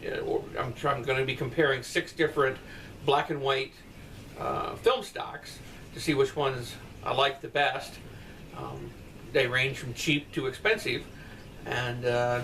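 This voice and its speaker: A man's voice: medium pitch, fairly bright tone. A middle-aged man chatting casually and bluntly, answering viewer comments from his bedroom. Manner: rambling, blunt, profane asides. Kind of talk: casual comment-response chat. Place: bedroom at night, indoors. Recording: distant mic with faint room noise.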